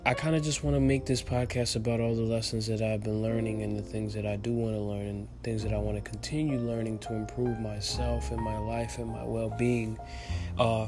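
Earnest young man with music behind. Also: medium pitch. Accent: light Dominican Spanish. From Dominican Republic. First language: Spanish. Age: 20s. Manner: earnest, rambling, motivational, with many fillers and restarts. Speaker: podcast host. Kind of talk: casual solo podcast monologue.

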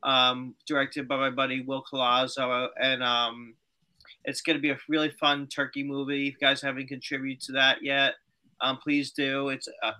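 A man's voice: mid-range voice, flat delivery, bright, forceful tone, low-quality recording. A man chatting as a podcast guest. He sounds tired and a bit nasal, and he stumbles and repeats words. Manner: halting, repeats words, jokey. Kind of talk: casual chat.

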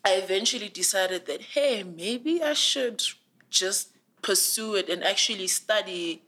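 A woman's voice: mid-range voice, measured pace. A young woman in her twenties with a South African accent, talking earnestly and with feeling in a casual interview, with lots of "like" fillers. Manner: earnest, animated storytelling with many "like" fillers. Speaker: guest.

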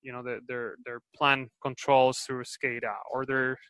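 Bright Spanish-accented man, halting. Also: mid-range voice, little pitch movement, clear, resonant tone, dry recording. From Spain. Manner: hesitant, many restarts and fillers. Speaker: guest, company executive. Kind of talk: podcast interview answers.

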